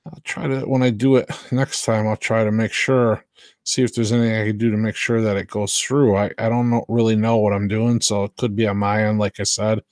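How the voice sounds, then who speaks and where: A man's voice: fairly low pitch, level tone, brisk, darkish tone, dry recording, clean studio sound. A man hosting a live stream, chatting casually with viewers in a hesitant, unpolished way, often trailing off. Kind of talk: casual rambling chat with viewers.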